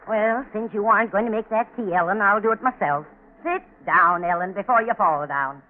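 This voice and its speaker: An actress playing a blunt, no-nonsense middle-aged aunt in a 1940s radio style. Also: female voice, mid-range voice, moderate pace, close to an everyday voice. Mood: brisk, bossy. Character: brisk, bossy, fearless middle-aged aunt. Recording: tinny, noisy 1940s radio recording.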